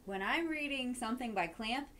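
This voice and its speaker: A woman's voice: a little high, animated, moderate pace, a bit bright. Woman chatting casually over faint noise. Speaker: co-host of an anime live stream. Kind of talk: casual fan chat.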